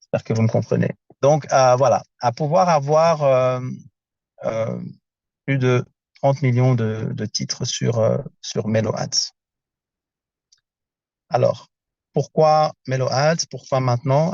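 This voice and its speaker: A man speaking from inside a car on a video call, presenting his project in a casual, halting way as he searches for words in a language that is not his first. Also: medium pitch, gravelly, darkish tone, no room sound, highs cut off.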